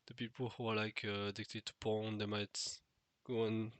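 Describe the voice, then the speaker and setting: A man's voice: lowish voice, level tone, normal speed, no room sound. Nasal-voiced man musing aloud. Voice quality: nasal, stuffed-nose voice. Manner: musing, hesitant, many fillers and restarts. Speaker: vlogger. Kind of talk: unscripted solo monologue.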